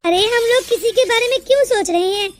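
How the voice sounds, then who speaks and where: A woman's voice: very high voice, fairly quick. A woman voicing a chatty, condescending young office worker in a cartoon moral story. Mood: smug. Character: smug, snobbish young female office worker. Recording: music behind the dialogue.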